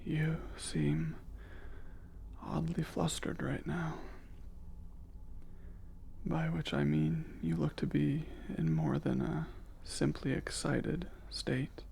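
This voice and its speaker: A man performs a curious alien scientist with cool emotional reserve in an intimate ASMR audio drama. The voice is fairly high for a male, heavily creaky and breathy, often close to a whisper. Phrasing is unhurried, formal and precise, with hesitant pauses. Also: big pitch swings, a little mellow, a little hiss or hum, heavily acted. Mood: curious, awkward. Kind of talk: scripted ASMR roleplay, intimate.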